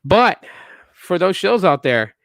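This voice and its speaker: Emphatic man in his thirties, dry and clear. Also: sits high, sing-song, takes it slowly, fairly bright tone, no room sound. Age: 30s. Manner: emphatic, repetitive restarts, lecturing. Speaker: tech podcast host. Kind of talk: casual rant to viewers.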